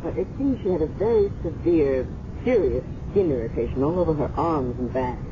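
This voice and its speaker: An actor playing a measured, musing hospital doctor in a 1970s radio drama. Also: male voice, fairly high pitch, lively intonation, natural voice. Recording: muffled, noisy 1970s radio recording.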